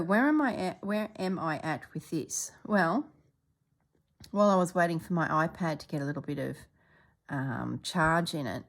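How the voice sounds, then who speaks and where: A middle-aged woman from Australia chatting casually as she stitches, a little congested and clearing her throat, sometimes dropping to soft murmurs. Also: low-pitched. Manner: chatty, relaxed, drops into soft murmurs while working. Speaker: stitching vlogger. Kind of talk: casual chat while stitching.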